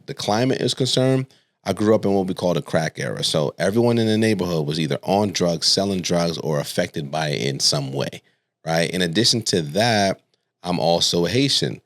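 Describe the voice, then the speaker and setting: Deep-voiced man telling his story, dry sound. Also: no echo. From Stamford, Connecticut, United States. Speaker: podcast guest, speaker and coach. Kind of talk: casual personal storytelling. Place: studio.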